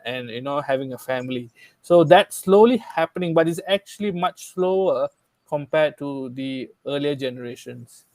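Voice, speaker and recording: A man explaining ideas in a relaxed podcast conversation, earnest and thorough, often checking in with "right?". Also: fairly high pitch, no room sound. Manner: earnest, explanatory, frequent "right?" tag questions. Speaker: guest speaker.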